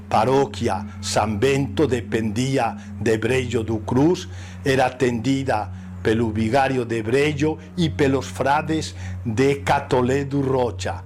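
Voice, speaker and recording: An older man, a priest, speaking Portuguese with a Spanish accent, recalling the past slowly with hesitant pauses and fillers. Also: medium pitch, darkish tone. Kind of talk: interview recollection.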